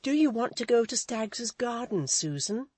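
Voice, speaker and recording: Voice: medium pitch, very animated, moderate pace, a little mellow, no room sound, voice pushed up. A reader voicing an earnest young man who asks urgent, concerned questions. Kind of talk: audiobook character voice.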